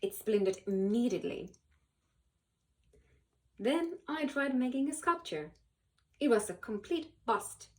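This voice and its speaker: A woman reading a children's picture book aloud in an animated, expressive way, with dramatic pauses and emphasis. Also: a little high. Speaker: volunteer children's book reader.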